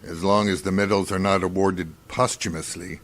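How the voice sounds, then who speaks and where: A man playing a wry, detached scientist in a science fiction radio drama, musing dryly and deliberately. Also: deep voice, fairly even intonation, a bit bright. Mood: bitterly wry. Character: wry, detached, brooding male scientist doctor on a space crew.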